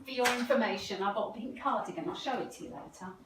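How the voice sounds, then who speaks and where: A woman with an English accent playing a homely, affectionate, gently nagging wife in an amateur play, chatty and fussing. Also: on the high side, fast, close to an everyday voice. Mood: chiding. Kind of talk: amateur stage play acting, recorded at home. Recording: roomy, distant mic, faint noise.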